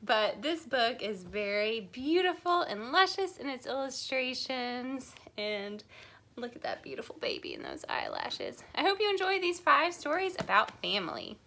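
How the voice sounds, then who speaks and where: A woman hosting a children's storytime, talking to young viewers in an animated, encouraging way with playful questions. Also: high-pitched, moderate pace, a little hiss or hum. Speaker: children's library storytime host.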